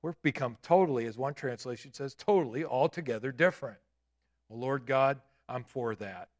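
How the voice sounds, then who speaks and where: A man in his late fifties preaching a sermon in a church, with emphatic declarations and quieter asides. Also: mid-range voice, moderate pace. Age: 50s. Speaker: pastor. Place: church sanctuary.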